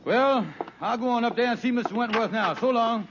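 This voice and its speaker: A man's voice: very high-pitched, brisk. A man acting a scheming, wheedling, bossy con man with grandiloquent but folksy ungrammatical speech in an old-time radio sitcom. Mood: mock indignant, pompous. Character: Kingfish, scheming, blustery, wheedling adult male con man. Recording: muffled, noisy vintage radio recording.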